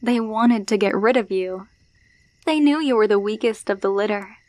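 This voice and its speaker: A woman's voice: mid-range voice, normal speed, a little mellow, no echo, lightly acted. A woman playing a possessive alpha werewolf in an ASMR roleplay, taunting and condescending as she coos pet names. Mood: taunting, menacing. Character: dominant, possessive female alpha werewolf, teasing and menacing.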